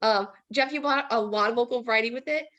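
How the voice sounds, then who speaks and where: A woman's voice: fairly high pitch, quick pace, fairly bright tone, dull audio. A young woman giving casual feedback as a guest judge on a video call, hesitant and tactful. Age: young adult. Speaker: guest judge, student teacher. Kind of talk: casual spoken critique.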